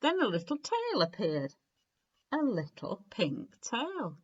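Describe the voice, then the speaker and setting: A woman reading a children's storybook aloud in an expressive, deliberate way, with clear phrasing and dramatic emphasis. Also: medium pitch, dull audio. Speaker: story reader.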